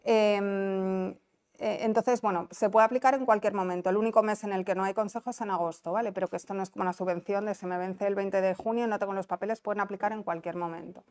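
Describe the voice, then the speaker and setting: A woman's voice: medium pitch, fairly even intonation, fast, darkish tone. A woman with a non-Canarian Spanish accent giving an informal conference presentation, explaining conversationally and checking that listeners follow. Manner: explanatory, conversational, checks understanding with tag questions. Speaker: conference presenter, business incentive adviser. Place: small indoor conference room. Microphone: lapel mic.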